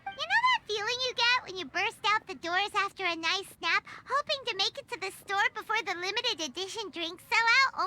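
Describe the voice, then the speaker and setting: A woman voicing a tiny floating female companion in a video game, excitable and whiny, talking about herself by name. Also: extremely high pitch, slight background noise, heavily acted. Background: game music.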